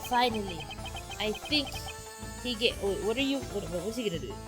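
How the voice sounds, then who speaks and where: A woman's voice: mid-range voice, lively intonation, brisk. A woman streamer chatting casually and reacting to a game in a giggly, exclaiming way. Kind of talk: casual livestream chat and game commentary. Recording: music behind. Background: game music.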